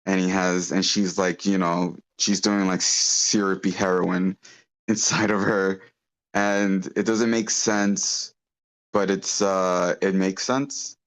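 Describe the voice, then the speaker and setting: Deep-voiced man, hesitant and rambling. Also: flat delivery, takes it slowly, a bit bright, rough recording. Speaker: script feedback participant at an online table read. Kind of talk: casual feedback chat. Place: online meeting.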